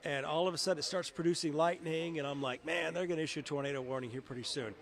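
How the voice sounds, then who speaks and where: A man's voice: a little high, fairly even intonation, fast talker, creaky, a bit bright. A middle-aged man, a television meteorologist, chatting in a casual interview and telling stories in a conversational way. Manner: conversational, anecdotal.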